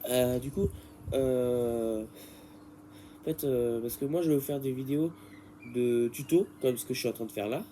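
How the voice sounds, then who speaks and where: A man's voice: medium pitch, unhurried, mellow, a little hiss or hum. A man with a head cold explaining a bike repair in a casual, hesitant way, full of fillers and restarts. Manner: hesitant and rambling, many fillers. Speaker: bike repair tutorial maker.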